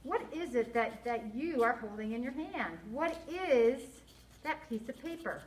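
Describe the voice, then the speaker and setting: A woman voicing an earnest, gentle, philosophical boy who speaks precisely and formally in a children's storybook. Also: fairly high pitch, lively intonation, unhurried. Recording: echoey room, audible background noise.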